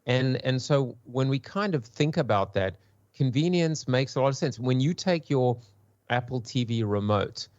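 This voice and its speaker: A man's voice: medium pitch, expressive, normal speed, darkish tone, dry recording, muffled recording. A man with a South African accent, a guest on a podcast panel, talking in a hesitant way with many restarts. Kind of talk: casual podcast discussion.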